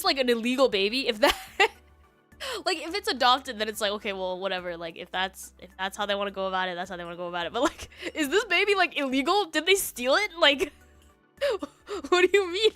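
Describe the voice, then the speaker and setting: A woman hosting a livestream, chatting casually and reacting to online stories in a giggly, animated way. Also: high-pitched, brisk, dry recording, soft background music. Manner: giggly, animated, breaking into laughter. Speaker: livestream host.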